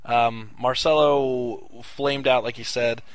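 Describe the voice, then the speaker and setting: Male co-host, mid voice, wry and relaxed, dry close sound. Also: mid-range voice, takes it slowly, no room sound. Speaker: podcast co-host. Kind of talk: casual sports chat.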